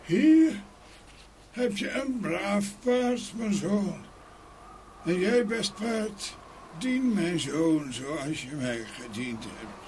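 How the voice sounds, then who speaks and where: Fairy-tale role: ghostly old peasant father, high-pitched, solemn, halting, clearly noisy ambience. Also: sing-song, darkish tone, heavily acted. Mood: solemn, stern. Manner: slow, halting, drawn-out pronouncements. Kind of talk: audio fairy-tale acting. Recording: noisy ambient sound behind voice.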